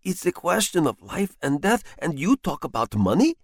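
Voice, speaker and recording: Man doing a scared timid clerk, stuttering and begging, pitched up a bit. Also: sing-song, normal speed, slightly rough, no room sound, clean studio sound, exaggerated voice. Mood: pleading. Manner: stammering, pleading. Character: timid frightened middle-aged clerk.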